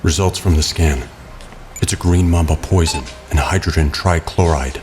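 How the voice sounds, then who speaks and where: An actor playing a stern, brooding, protective masked vigilante in an audio drama, speaking in a terse, controlled, grave way with music behind him. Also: male voice, very deep voice, moderate pace. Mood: calm, terse.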